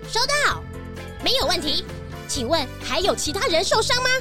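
A cutesy cat paramedic in a children's radio play. The voice is high and lively, earnest and a little puzzled, over prominent music. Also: female voice, normal speed. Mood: brisk. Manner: cutesy, tags sentences with a meow. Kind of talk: children's audio drama acting.

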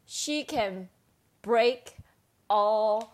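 A woman's voice: fairly high pitch, extremely slow. A woman co-host chatting casually and playfully, retelling a story and switching between two languages. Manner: switches between Mandarin and English. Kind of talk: casual playful bilingual chat.

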